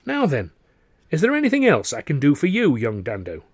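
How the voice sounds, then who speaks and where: A jovial, touchy middle-aged impresario, voiced by a man reading a story. He hems and haws, then snaps, his pitch leaping about. The voice is a little husky and close and dry. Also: huge pitch swings, a little fast, dry recording, full character voice. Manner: blustering, hesitant then snapping.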